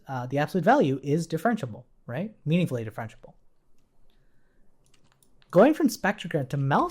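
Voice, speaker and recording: Man teaching code, lively swinging pitch. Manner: explanatory, step by step, checks in with rhetorical questions. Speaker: programming instructor. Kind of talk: informal screencast coding tutorial, explaining while typing.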